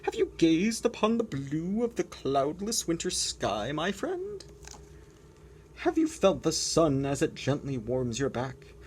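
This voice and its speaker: A woman voicing a wise, gentle old walrus with a grand, soothing, poetic way of speaking, in a picture book read-aloud. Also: low-pitched, very animated, slow pace, a little hiss or hum, voice pushed up, exaggerated voice.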